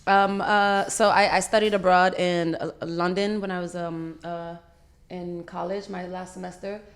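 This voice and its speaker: A woman's voice: lowish voice, level tone, a little slow, clear, resonant tone. A woman from Senegal who grew up partly in the Bronx, giving a casual, earnest and reflective radio interview as a musician in a studio. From Senegal, then the Bronx, USA.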